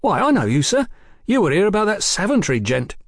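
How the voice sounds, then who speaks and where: A man's voice: a little high, sing-song, no echo, clean studio sound, pitched above the performer's own voice. A man voicing an eager, chatty young waiter in an old pub, in a storybook reading. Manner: eager, rapid working-class chatter.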